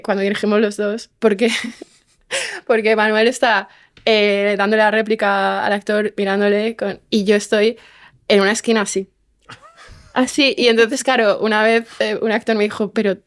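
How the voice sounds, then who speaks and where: Woman w spain accent just chatting in an interview, medium pitch. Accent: Spanish (Spain). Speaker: podcast writer and director, interview guest. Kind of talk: casual conversation.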